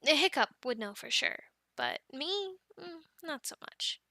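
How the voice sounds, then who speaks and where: A woman acting as a cheerful young female Viking dragon keeper in an audio roleplay. Her voice is high and bright, and she takes her time. She chats to the listener and coaxes her animals with tender affection. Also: big pitch swings, unhurried, dry recording, studio quality, full character voice. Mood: chatty, explaining. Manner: chatty, affectionate coaxing and explaining. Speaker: amateur audio roleplay performer.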